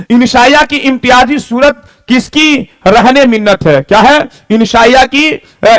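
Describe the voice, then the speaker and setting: A man's voice: very high-pitched, a bit bright. A man teaching an exam-prep lesson, reading out questions and answers, repeating them and checking that students follow.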